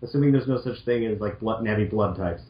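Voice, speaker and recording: A man's voice: lowish voice, fast talker. A man giving casual, mocking commentary as he reads amateur fiction aloud, sarcastic, incredulous and sweary. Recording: echoey room, dull lo-fi audio.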